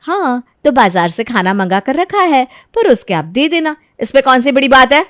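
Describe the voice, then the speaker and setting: A woman's voice: high voice, sing-song, moderate pace, a bit bright. A woman voicing an insolent, scheming young daughter-in-law in a moral story, sarcastic and defiant in manner.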